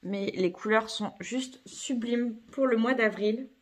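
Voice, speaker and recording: A woman's voice: on the high side, slow. A woman with a cold chatting casually through a craft unboxing at home, hesitant, with many fillers and self-corrections. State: has a cold. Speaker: craft vlogger.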